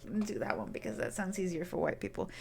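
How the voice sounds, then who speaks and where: A woman's voice: fairly low pitch, sing-song, rapid-fire, vocal fry. A woman streamer chatting casually to her viewers, rambling and often restarting her sentences. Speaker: game streamer.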